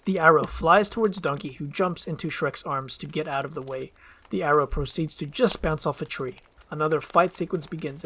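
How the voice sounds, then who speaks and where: A woman reading scripted stage directions as a narrator. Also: deep voice. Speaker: narrator and voice actor.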